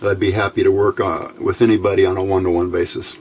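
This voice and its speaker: A man giving an informal, unscripted hands-on tutorial as host, explaining step by step in a halting, plain-spoken way. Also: low voice, little pitch movement, a little mellow. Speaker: host of a DIY electronics tutorial channel. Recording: clearly noisy home recording.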